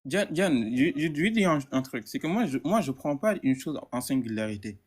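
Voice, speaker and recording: Male debate caller, mid-pitched, stammering and insistent. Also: big pitch swings, a little fast, over a noisy crowd. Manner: stammering, repeats words, insistent. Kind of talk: heated live debate.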